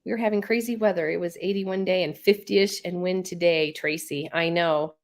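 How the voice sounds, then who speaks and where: A woman's voice: lowish voice, steady tone, a little fast. Woman host with a head cold, congested, rambling, laughs a lot. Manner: chatty, rambling, laughs often. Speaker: live stream host. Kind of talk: casual live stream chat, reading viewer comments.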